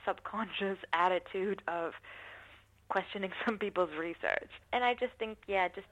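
A woman giving thoughtful, measured interview answers, often pausing to rephrase her points. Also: mid-range voice, a bit bright, highs cut off. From not from New Zealand. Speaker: interviewee, university researcher. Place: New Zealand.

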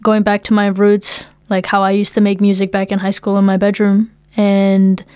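Smooth-voiced young New Zealand woman, chatting casually. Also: mid-range voice, completely flat delivery, moderate pace, darkish tone, no room sound. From Philippines, moved to New Zealand at eight. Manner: informal, slangy. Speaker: musician, interview guest. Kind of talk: casual interview chat.

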